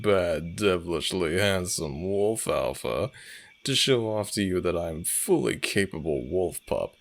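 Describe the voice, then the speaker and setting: A man playing a smug, flirtatious male werewolf alpha with a low gravelly voice, in a slow, teasing, theatrical romantic audio roleplay. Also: exaggerated voice.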